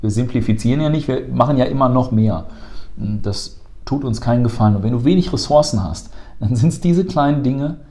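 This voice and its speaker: A man in his thirties, seated on a sofa in a bright office room, explaining stress and thinking to a colleague in a fluent, lecturing way with frequent filler sounds, recorded on a lapel mic. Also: medium pitch, fairly quick. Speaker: emergency medicine educator. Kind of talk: explanatory conversation.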